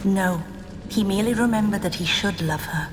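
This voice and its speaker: A woman voicing a composed, mysterious animal spirit, speaking in a measured, solemn way in a video game. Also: medium pitch, natural voice. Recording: long reverb, music behind.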